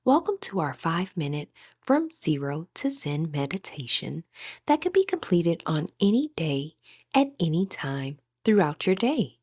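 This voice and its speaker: A woman with a breathy voice gently leading a guided meditation as an instructor, in measured, scripted phrases. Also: fairly low pitch, big pitch swings, no echo, clean studio sound.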